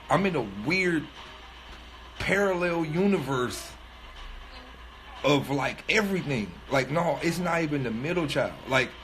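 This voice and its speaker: A man's voice: mid-range voice, fairly bright tone, slight background noise. A man in his thirties with a cold, sniffling a little as he thinks aloud in a casual livestream monologue, loose and rambling with many fillers and restarts. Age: 30s. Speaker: rapper streaming live.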